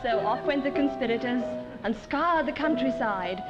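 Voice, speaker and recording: Casting: storybook ballad narrator, high animated voice, rhyming delivery over loud music. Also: female voice, normal speed. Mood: lilting narration. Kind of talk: sung or recited rhyming narration. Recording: dull recording under loud music.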